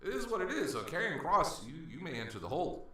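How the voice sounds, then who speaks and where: A man's voice: a little high, big pitch swings, quick pace, crowd noise. A man co-hosting a podcast, teasing and hyping in casual banter. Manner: playful teasing, hype delivery. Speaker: podcast co-host. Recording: very echoey room.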